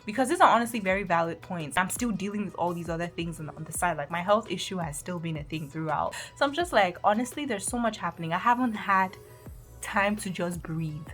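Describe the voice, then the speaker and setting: Nigerian woman in her twenties, casual vlogger, lowish voice, animated and exasperated, faint music underneath. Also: brisk. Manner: animated, laughs often, exasperated asides. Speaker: medical student vlogger. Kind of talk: casual vlog storytelling.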